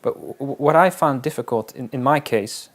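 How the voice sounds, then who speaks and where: A man, a wilderness guide, talking in a casual interview in a halting, reflective way with frequent restarts. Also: mid-range voice. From Netherlands.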